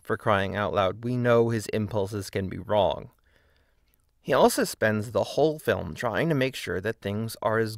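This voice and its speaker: A man's voice: lowish voice, no room sound, studio quality. A man delivering scripted video-essay narration with casual asides, in an opinionated, emphatic and wry manner.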